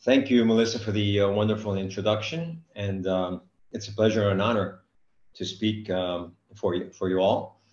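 Low-voiced male lecturer, halting, roomy dull call audio. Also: fairly even intonation, a little mellow. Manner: hesitant, many filler sounds, dry humor. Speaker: guest lecturer, physician. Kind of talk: academic lecture.

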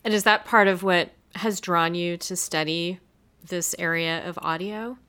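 A woman's voice: on the low side, fairly even intonation, unhurried, sharp tone, clear, resonant tone. A woman co-hosting a radio show in a conversational discussion style.

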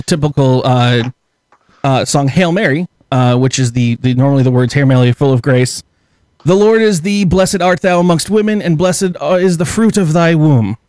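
A man's voice: on the high side, darkish tone, dry recording, studio quality. A man hosting a casual podcast, joking around and swearing in a playful way. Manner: jokey, profane, playful. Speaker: podcast host.

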